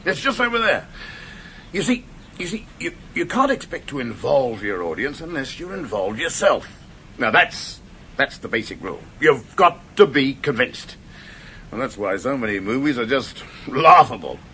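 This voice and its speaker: An actor playing a self-absorbed horror filmmaker in a radio play, chatty and boastful, stammering and laughing nervously. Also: male voice, mid-range voice, very animated, moderate pace, bright, forceful tone, a little hiss or hum. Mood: jovial, boastful. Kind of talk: 1970s radio drama acting.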